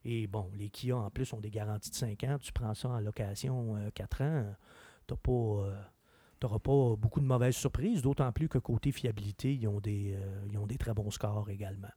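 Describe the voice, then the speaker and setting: A man with a Québécois French accent, a car expert chatting on a radio show in an opinionated, animated way. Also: lowish voice, moderate pace, husky, no room sound. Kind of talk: casual chat.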